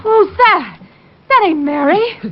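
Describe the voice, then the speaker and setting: A woman's voice: very high-pitched, lively intonation, slow, slight background noise, exaggerated voice. A woman playing a defiant, overwrought young gangster's girlfriend in an old radio melodrama. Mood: indignant, defiant. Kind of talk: 1940s-50s radio melodrama acting. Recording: muffled old radio recording with crowded background.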